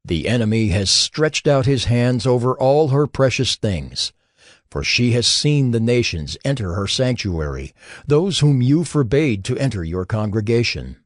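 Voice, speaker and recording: A man narrating scripture in a solemn, dramatized style, with grave, measured, dramatic phrasing. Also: medium pitch, lively intonation, no room sound, clean studio sound. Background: music behind the voice.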